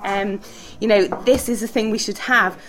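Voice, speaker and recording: A woman's voice: medium pitch, steady tone, normal speed, a bit bright, poor audio. A woman teaching a church group in a casual, chatty way, laughing often and putting questions to the room over background noise. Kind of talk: talk with group discussion.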